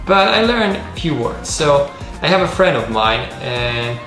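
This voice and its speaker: A man's voice: a little high, big pitch swings, measured pace, music in the background. Earnest male host, echoey room. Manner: earnest, non-native English with small grammar slips. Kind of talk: casual conversational interview.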